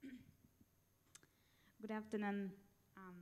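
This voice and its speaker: A woman's voice: mid-range voice, steady tone, drawn-out words, darkish tone. A young woman from Uzbekistan giving a formal conference presentation into a handheld mic in a large hall, careful and hesitant with fillers.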